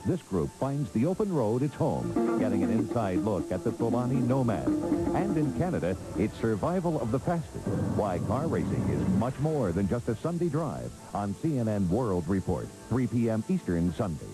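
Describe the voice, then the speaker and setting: American man, TV promo read, lowish voice with swooping intonation, loud music behind. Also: sing-song, normal speed, rough recording. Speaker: promo announcer. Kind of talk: scripted TV programme promo.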